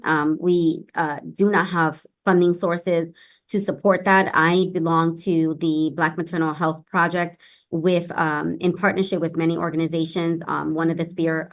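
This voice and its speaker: A woman speaking earnestly and emphatically as a guest in an interview about her work as a public official. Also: fairly low pitch. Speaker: city health director, interview guest.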